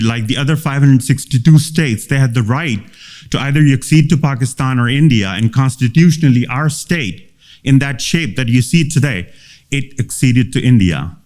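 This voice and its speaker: A man's voice: mid-range voice, darkish tone. A man from Gilgit-Baltistan whose first language is Tibetan, giving an earnest seminar talk with frequent fillers and restarts. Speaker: seminar speaker. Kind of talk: impassioned public talk.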